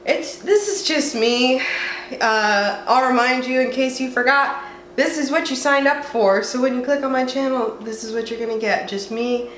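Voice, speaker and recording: A woman's voice: high-pitched, normal speed, a bit bright. A young woman from the southwestern United States, talking in a chatty, rambling way with a congested voice from a cold, at home. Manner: chatty, rambling, self-mocking. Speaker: vlogger. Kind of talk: casual chat. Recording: roomy echo, faint room noise.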